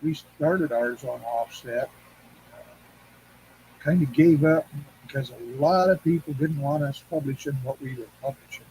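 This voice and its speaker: An older man chatting casually and reminiscing in a rambling, halting, anecdotal way. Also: on the high side, warm tone, poor audio. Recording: clear background noise and hum.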